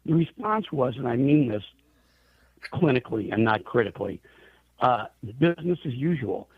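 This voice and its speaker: A man's voice: mid-range voice, sing-song, normal speed, husky. A man speaking as an interview guest on the radio, making his case emphatically with pointed rhetorical questions. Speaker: interview guest, airline trade association chief executive. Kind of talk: radio interview, advocacy answers. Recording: tinny narrow phone line.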